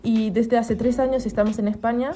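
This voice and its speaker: A woman's voice: on the high side, fairly even intonation, brisk, warm tone, soft background music. A young woman from Geneva, a native French speaker with a French-tinged Argentine Spanish accent, giving plain, orderly interview answers. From Geneva, Switzerland. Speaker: guest, professional basketball player.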